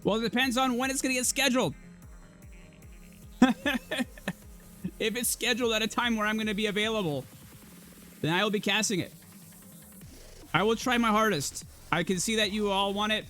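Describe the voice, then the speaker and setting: Hoarse chatty male esports caster. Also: sits high, moderate pace, pauses often, sharp tone, faint music behind. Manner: casual, chatty, addresses chat. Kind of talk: livestream caster filling time.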